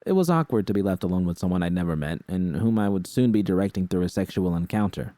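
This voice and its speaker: A man's voice: sits low, animated, quick pace, warm tone, dry recording, clean studio sound. A man reading a first-person story as a scripted narration. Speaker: audiobook-style narrator of fan fiction.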